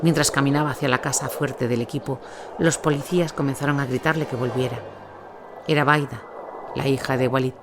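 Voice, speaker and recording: A male narrator with a mid-pitched voice reads a scripted war account. Clear ambient noise runs behind his words. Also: steady tone, brisk. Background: noisy ambient sound.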